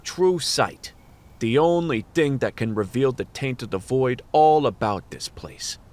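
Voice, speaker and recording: An actor playing a world-weary fantasy detective in a noir radio play, brooding and dramatic. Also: male voice, mid-range voice, animated, measured pace, darkish tone, close to an everyday voice. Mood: knowing, grave. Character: hard-boiled fantasy detective, world-weary, knowing, dealer with demons.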